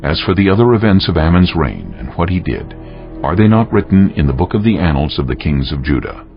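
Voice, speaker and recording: Man, very low creaky voice, animated intonation, scripture narration, solemn, measured, muffled audio. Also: faint music behind.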